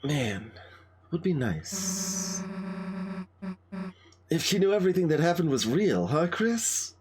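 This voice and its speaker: A man's voice: fairly high pitch, sing-song, slow pace, a little mellow, slight background noise, voice pushed up. A man voicing a brash, casual teenage monster girl from a game, blunt, slangy and teasing. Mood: wistful, teasing.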